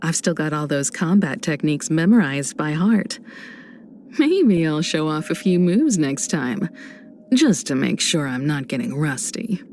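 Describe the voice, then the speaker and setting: Game space traveler, grown woman, low-pitched, soft mellow tone, composed mentor, reflective, gently teasing, faint background noise. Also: expressive, a little slow. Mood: wry, confident. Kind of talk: video game character voice lines.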